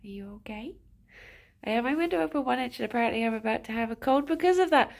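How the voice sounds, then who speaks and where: A woman's voice: a little high, expressive, fast talker, creaky. A woman with a stuffed-up nose, chatting casually with her live chat, greeting viewers by name and giggling often. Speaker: streamer.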